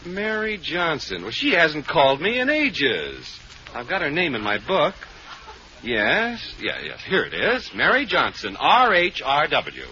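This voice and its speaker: A jaunty ladies'-man bachelor in an old radio sitcom, full of wisecracks. His voice is very bright and sits fairly high, leaping all over the place in pitch. The old recording is dull and carries a faint hiss. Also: huge pitch swings, measured pace, exaggerated voice. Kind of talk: 1940s radio comedy acting.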